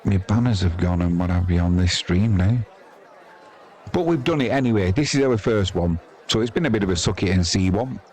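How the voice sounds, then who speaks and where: A man's voice: sits low, lively intonation, fairly quick, darkish tone, some chatter behind. A man with an English accent giving chatty, wry, self-deprecating amateur live commentary on cricket. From England.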